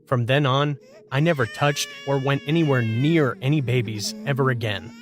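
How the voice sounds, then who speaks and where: A man's voice: mid-range voice, normal speed, slight background noise. A man narrating a scripted first-person horror story as a storyteller.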